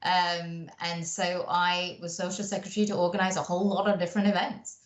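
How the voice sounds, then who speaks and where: A reflective woman podcast guest from Malaysia, speaking with a British accent in a fairly low voice. The sound is noticeably roomy, and she talks conversationally, tagging thoughts with "right?". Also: flat delivery, fairly quick. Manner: reflective, often adds "right?" as a tag. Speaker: podcast guest, mentor and coach. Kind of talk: conversational interview.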